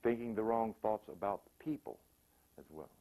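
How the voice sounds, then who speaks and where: A man's voice: lowish voice, normal speed, dark tone. A man giving an informal, sermon-like motivational talk, repeating phrases emphatically and acting out examples. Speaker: motivational spiritual speaker.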